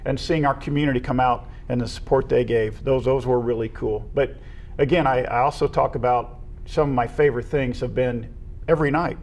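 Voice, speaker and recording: A man's voice: mid-range voice, steady tone, fairly quick, a little mellow. A middle-aged man with an American accent, talking casually and earnestly as a guest in an interview about his work. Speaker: retiring assistant athletic director, interview guest.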